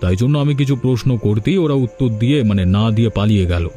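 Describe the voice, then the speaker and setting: A man's voice: lowish voice, lively intonation, fast talker, mellow, faint music behind, lightly acted. A man voicing a mysterious, helpful stranger in a folk-tale cartoon, polite, earnest and reassuring.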